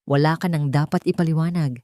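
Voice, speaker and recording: A woman's voice: low-pitched, steady tone, brisk, dark tone, no echo, clean studio sound, lightly acted. A woman voicing a bitter, guarded young woman. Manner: bitter, cold. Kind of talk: dramatized audiobook.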